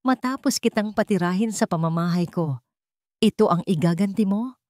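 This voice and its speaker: A woman's voice: mid-range voice, normal speed, a little mellow, no echo, studio quality, close to an everyday voice. A woman voicing a furious, domineering older mother-in-law in an audiobook melodrama. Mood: outraged.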